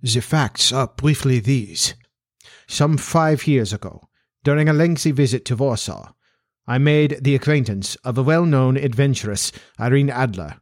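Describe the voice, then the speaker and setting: A man's voice: medium pitch, unhurried, dry recording, studio quality, lightly acted. A man voicing a large, haughty and agitated Bohemian nobleman in a storybook, speaking in a pompous, formal way. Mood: earnest, formal.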